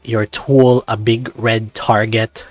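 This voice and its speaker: A man's voice: fairly low pitch, flat delivery, slow pace, dry recording. Young dragon roommate: casual, cheeky, easygoing, voiced by a man; dry close sound. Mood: amused. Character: playful, laid-back young male dragon.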